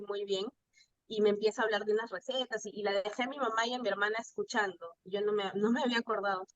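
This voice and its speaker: A woman's voice: medium pitch, highs cut off. A woman with a Peruvian Spanish accent talking casually as an interview guest, telling anecdotes in a chatty way and acting out bits of dialogue. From Peru. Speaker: guest, pastry chef. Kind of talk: casual conversational storytelling.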